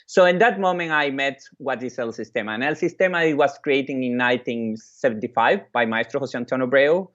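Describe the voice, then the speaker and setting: A man from Venezuela, a native Spanish speaker with a Venezuelan accent, giving a keynote speech with personal stories in a halting way, repeating phrases and pausing. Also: medium pitch, fairly bright tone, lo-fi sound. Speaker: keynote speaker, music educator and orchestra leader.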